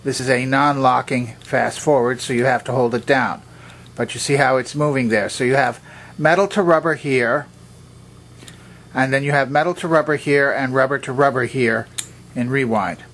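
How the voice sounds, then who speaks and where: A man's voice: medium pitch, steady tone, moderate pace, fairly bright tone. A man giving an unscripted, methodical demonstration, explaining things step by step in a plainly instructional way. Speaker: presenter of vintage electronics demonstrations.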